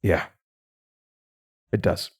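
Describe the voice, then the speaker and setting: A young man in a horror audio drama playing a weary, defiant college student haunted by his past, candid and dryly resigned. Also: extremely slow. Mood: reflective narrating. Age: young adult.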